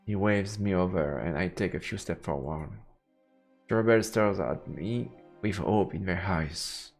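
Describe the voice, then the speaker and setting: A man's voice: sits low, a little slow, vocal fry. A man streaming a visual novel, reading the game's narration aloud. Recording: game music behind the voice. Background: game music.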